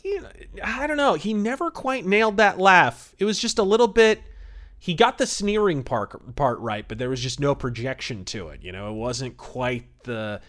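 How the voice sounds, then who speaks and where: Guy podcast host, kinda higher voice, chatty n emphatic, really into his commentary. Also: animated, moderate pace, a bit bright. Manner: emphatic, conversational. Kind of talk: casual enthusiastic commentary.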